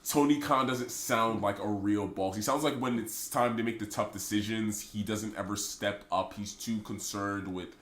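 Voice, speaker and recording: A man with a congested, sick-sounding voice holds forth on a casual podcast. He sits on the lower side and rants with firm opinions. The room around him rings with echo. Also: steady tone, normal speed, few pauses, fairly bright tone. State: stuffy, sick voice. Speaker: podcast co-host. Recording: echoey room.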